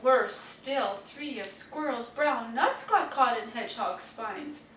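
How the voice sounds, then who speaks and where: A woman reading children's stories aloud, with an expressive, deliberate storytelling manner for young listeners. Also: a little high. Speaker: storybook reader. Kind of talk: children's read-aloud narration. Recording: roomy, distant mic, faint noise.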